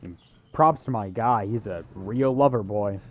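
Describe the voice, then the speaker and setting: A man's voice: fairly low pitch, a little slow. A young man giving casual, joking game commentary in a rambling, sarcastic way. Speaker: gamer.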